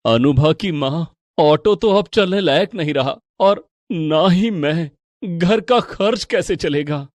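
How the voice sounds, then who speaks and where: A concerned middle-aged father, acted by a man, earnest and admonishing. His voice is slightly high for a man, in a dry storybook recording. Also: slow pace, no echo. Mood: worried.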